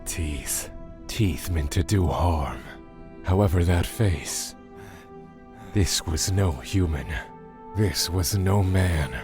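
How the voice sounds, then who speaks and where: Very deep, brooding dark god. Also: male voice. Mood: awed. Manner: grave, deliberate, theatrical. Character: brooding male dark god, creator and father, enthroned. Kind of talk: dramatic first-person audio drama narration. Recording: loud music under the voice.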